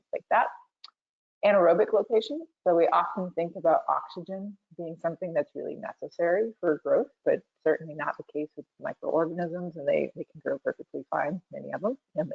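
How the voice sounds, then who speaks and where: A hoarse woman who is losing her voice gives a loose, chatty technical webinar talk full of ums and you-knows. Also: sits low. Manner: loose and chatty, with many fillers. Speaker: webinar presenter. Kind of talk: conversational technical presentation. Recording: tinny phone-like webinar audio.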